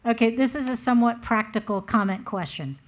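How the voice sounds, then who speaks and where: A woman's voice: on the high side, a little fast. A woman in an audience asking a question in a chatty, informal way at a live talk. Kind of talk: casual spoken audience question.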